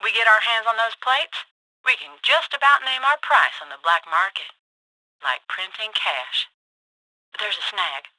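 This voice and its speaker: Bright-voiced crime broker. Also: female voice, mid-range voice, a little slow, dry recording, poor audio. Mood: sly, confiding. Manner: folksy, wry. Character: shrewd, easygoing Southern female crime broker pitching jobs. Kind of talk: video game voice acting.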